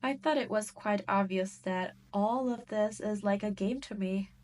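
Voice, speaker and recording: A woman's voice: mid-range voice, darkish tone, lightly acted. A woman playing a cruel, arrogant demon queen in a roleplay audio drama, teasing and condescending as she speaks straight to the listener. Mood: amused, condescending. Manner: teasing, condescending, drawn-out delivery. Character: cruel, arrogant adult female demon queen, mocking and possessive.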